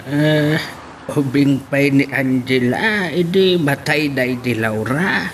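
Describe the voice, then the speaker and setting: Slow, halting actor as an old Ilocano grandfather. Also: mid-range voice, recorded from a distance, slight background noise, close to an everyday voice. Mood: hesitant, explaining. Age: older. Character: humble old village father and grandfather. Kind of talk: Ilocano radio drama acting.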